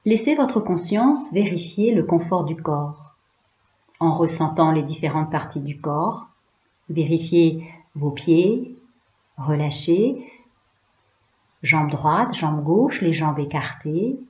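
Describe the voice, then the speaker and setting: A woman guides a relaxation session as a yoga teacher. Her voice is soft-edged and mellow, a little low, and she moves very slowly through short, soothing instructions with pauses between them. The sound is slightly dull. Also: lively intonation. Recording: roomy, slightly dull recording.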